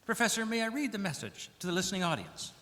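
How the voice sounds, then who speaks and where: High-voiced earnest radio reporter, played by a man, hall reverb. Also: big pitch swings, quick pace, bright, forceful tone, slight background noise, exaggerated voice. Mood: inquisitive, formal. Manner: formal announcer phrasing, pointed questions. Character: earnest, inquisitive male 1930s radio news reporter. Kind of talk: 1930s radio news acting. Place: church hall stage, live performance.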